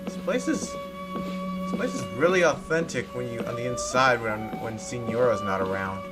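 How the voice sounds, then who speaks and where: A man giving casual commentary as he plays through a game, halting and often repeating his phrases. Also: mid-range voice, wildly swooping pitch, low-quality recording. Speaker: gaming streamer. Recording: game music behind the voice.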